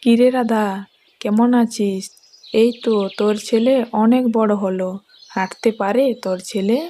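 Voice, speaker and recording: A woman's voice: on the high side, steady tone, measured pace, warm tone, a little hiss or hum, close to an everyday voice. A woman voicing a friendly elder-sister neighbour in a village storybook cartoon, with a familiar, homely way of talking.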